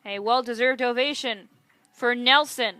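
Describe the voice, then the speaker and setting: A woman's voice: fairly high pitch, measured pace, bright, forceful tone, dry recording. A woman giving live play-by-play commentary as a sports announcer. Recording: clear gymnasium and ballpark ambient noise.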